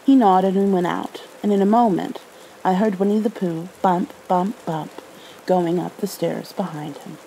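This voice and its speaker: A woman reads a bedtime storybook in a gentle, motherly ASMR style. Her voice is mellow and slightly high, very unhurried, with creaky edges. Faint rain ambience sits behind her. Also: steady tone, slight background noise, poor audio. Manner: soothing, gentle, tender. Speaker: ASMR roleplay performer. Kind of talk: soft ASMR storybook reading in a caring motherly roleplay.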